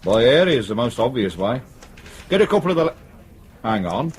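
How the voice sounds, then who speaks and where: Dry, sardonic British detective played by an actor. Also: male voice, medium pitch, very animated, moderate pace, a little hiss or hum, natural voice. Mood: pleased, brisk. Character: dry, sardonic, assured male police detective leading an investigation. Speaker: actor in a radio drama. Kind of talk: naturalistic radio drama acting.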